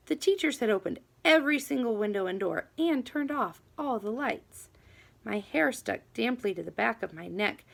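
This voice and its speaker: A woman narrating a children's book expressively, with animated, dramatic emphasis on key words. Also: mid-range voice, vocal fry. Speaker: audiobook reader.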